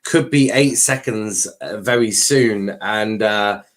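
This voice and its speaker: Slow-talking man commentating casually on a race. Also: fairly low pitch, a bit bright. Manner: informal, sweary, joking asides. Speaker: livestream watchalong host.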